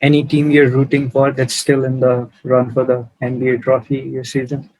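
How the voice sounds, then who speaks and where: A man's voice: medium pitch, monotone, moderate pace, darkish tone, dry recording, poor audio. A young man, hosting a casual podcast interview. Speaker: podcast host.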